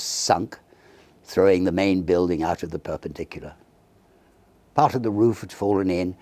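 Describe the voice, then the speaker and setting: A man reading a Victorian ghost story aloud in a measured, dramatic and expressive storytelling manner. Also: low-pitched, creaky, breathy edge, dry recording. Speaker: audiobook narrator.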